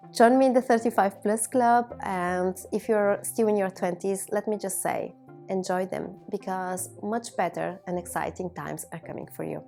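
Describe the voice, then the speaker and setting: A woman in her thirties from Bulgaria, a native Bulgarian speaker, giving a reflective personal monologue to viewers in measured, deliberate phrases. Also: mid-range voice, vocal fry, mellow. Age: 30s. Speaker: video blogger.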